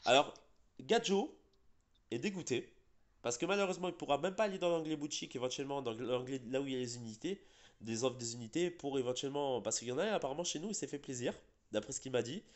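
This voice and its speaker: Man, congested, rambling, many fillers, middle pitch. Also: quick pace. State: a bit sick and congested. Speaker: gaming channel host. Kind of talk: casual gaming chat.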